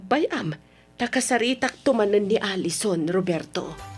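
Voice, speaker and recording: Casting: actress as gentle middle-aged mom, lively intonation, soothing manner, Ilocano accent, radio-drama acting. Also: female voice, medium pitch, measured pace, music in the background, lightly acted. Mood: concerned. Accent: Ilocano Filipino. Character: gentle, concerned wife and mother.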